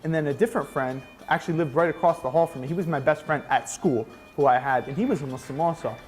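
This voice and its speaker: A twenty-two-year-old man from New Jersey explains things in a relaxed, casual way. His voice is somewhat high for a man. Light music plays underneath. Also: quick pace. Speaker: interviewee. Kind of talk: casual interview.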